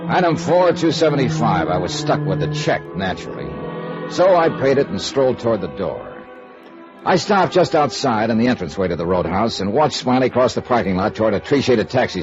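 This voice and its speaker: An actor playing a wry, persistent insurance investigator in 1950s radio noir, with a dry, sardonic, clipped hardboiled delivery. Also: male voice, on the low side. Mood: dry narration. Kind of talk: 1950s radio noir acting and first-person narration. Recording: muffled vintage radio recording with music.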